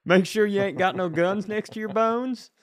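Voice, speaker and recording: A man's voice: high-pitched, normal speed, dry recording, studio quality. A man chatting casually as a comedy podcast host, sarcastic and given to acting out the people he describes. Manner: sarcastic, acts out quoted characters.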